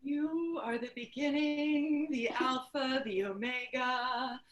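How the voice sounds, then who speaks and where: A woman's voice: high-pitched, steady tone, slow, fairly bright tone, highs cut off. A woman playing the last woman on earth, a weakened apocalypse survivor, in a staged play reading. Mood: solemn, tender.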